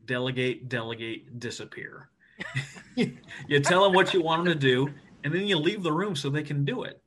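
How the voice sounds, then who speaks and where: A man from the United States, a Navy officer guesting on a podcast, talking in an animated, emphatic and self-deprecating way. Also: a little high, moderate pace, a little hiss or hum. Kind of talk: casual podcast interview.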